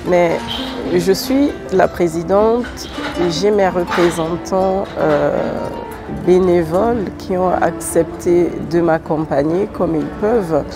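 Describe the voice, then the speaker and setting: A woman's voice: on the low side, takes it slowly. A woman from Congo-Brazzaville who heads a nonprofit association, answering questions hesitantly with many fillers. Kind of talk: interview answers. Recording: music playing under the voice.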